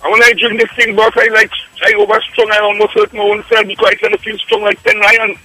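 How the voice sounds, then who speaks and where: A man's voice: sits high, completely flat delivery, fast talker, pushed and bright, poor audio. A Trinidadian man in his fifties calling in to a radio show, talking with eager enthusiasm. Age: 50s. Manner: enthusiastic, keeps calling the host "boss". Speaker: caller. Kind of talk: phone-in radio testimonial.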